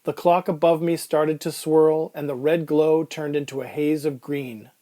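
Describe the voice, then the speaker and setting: A man acting out an uneasy patient in an audio drama, brooding over his own surreal ordeal. His voice sits a little high but stays soft-edged and mellow. He narrates deliberately, in the first person. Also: little pitch movement, close to an everyday voice.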